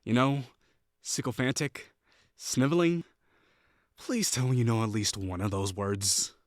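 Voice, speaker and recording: A man's voice: mid-range voice, expressive, dry recording, clean studio sound, lightly acted. A young man playing a haughty, sarcastic college rival who is secretly lonely, in a scripted audio roleplay. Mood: scornful. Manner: sarcastic, scornful, quick to bristle.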